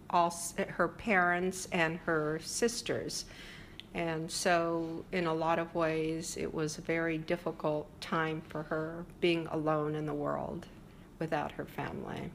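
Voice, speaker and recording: An older woman talks about her family's past in a slow, unhurried interview. Her voice sits fairly low and has a gravelly fry. She pauses often and fills the gaps with small hesitations. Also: slight background noise. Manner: reflective, hesitant with frequent fillers. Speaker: interviewee sharing family history. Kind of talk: oral history interview.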